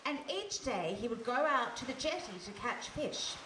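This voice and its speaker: A woman's voice: fairly high pitch, sing-song, moderate pace. A woman playing the narrator of a fairy tale, reading scripted storybook narration in a live radio play. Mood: storytelling. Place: hall stage before a live audience. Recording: echoey hall from a distance, faint noise.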